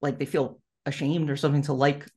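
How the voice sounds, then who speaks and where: A man hosting a podcast in casual, enthusiastic fan conversation, often halting and restarting his phrases. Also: on the high side, flat delivery, mellow, breathy edge. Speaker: podcast host.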